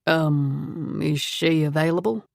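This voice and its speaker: Refined young stranger, calm, measured, dropped low, voiced by a woman narrator. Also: dry recording, clean studio sound. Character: young man, calm and soft-spoken with a refined foreign accent.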